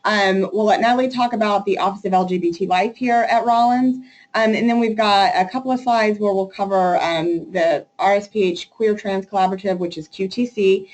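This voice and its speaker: A woman's voice: medium pitch, a little mellow, low-quality recording. A woman hosting a webinar as associate director, friendly and loosely organized, with fillers.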